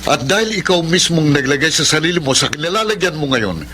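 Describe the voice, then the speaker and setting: A man's voice: fairly high pitch, lively intonation, brisk, sharp tone, slight background noise. A man playing a bitter, blunt younger brother in a radio drama, scornful and emphatic. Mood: angry, bitter. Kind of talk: Filipino radio drama acting.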